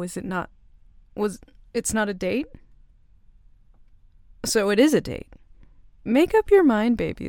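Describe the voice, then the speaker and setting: A woman voicing a flirty, teasing tomboy co-worker in an ASMR roleplay, soft-spoken and giggly. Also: mid-range voice, gravelly, no room sound, studio quality.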